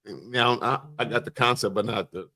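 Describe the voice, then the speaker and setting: A man's voice: mid-range voice, normal speed, bright, forceful tone. A man hosting a podcast in casual, joking group banter, rambling as he goes. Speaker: podcast host.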